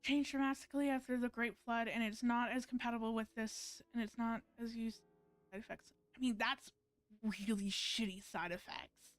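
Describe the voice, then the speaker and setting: A woman's voice: fairly high pitch, steady tone, moderate pace, no echo, soft background music. A woman giving casual live gameplay commentary, musing and thinking aloud in a hesitant way. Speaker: game streamer.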